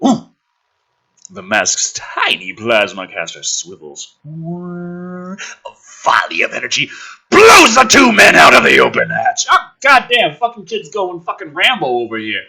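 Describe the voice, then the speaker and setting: A man doing a dramatic script reading with sarcastic, sweary commentary, swinging between hushed narration and exasperated ranting. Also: high-pitched, creaky, breathy edge. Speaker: host of a gaming and commentary channel.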